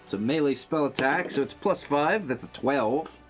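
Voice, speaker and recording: A man of middling pitch talks casually through his moves in a tabletop game, hesitating and thinking out loud. Faint music sits behind his voice. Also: expressive, moderate pace. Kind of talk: casual tabletop roleplay chat.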